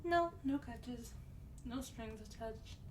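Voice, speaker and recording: A woman playing a sweet, wealthy vampire in a soft-spoken ASMR roleplay, breathy and often near a whisper, gently coaxing with a slightly haughty air. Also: a little high, takes it slowly, distant mic, a little hiss or hum, rough recording.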